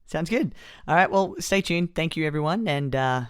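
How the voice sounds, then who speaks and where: A man's voice: a little high, animated, normal speed, fairly bright tone, clear, resonant tone, dry recording, clean studio sound. A man co-hosting a podcast, easygoing and chatty with frequent ums. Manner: easygoing, many ums and restarts. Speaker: podcast co-host. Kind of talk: casual chat.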